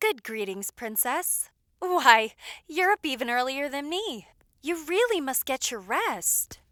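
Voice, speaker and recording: Woman acting as a bright, lilting palace maid. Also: high voice, sing-song, slow, dry recording, studio quality, exaggerated voice. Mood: fussing, caring. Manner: polite, formal, fussing reassurance. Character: caring, brisk palace maid attending a princess. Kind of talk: audio roleplay acting.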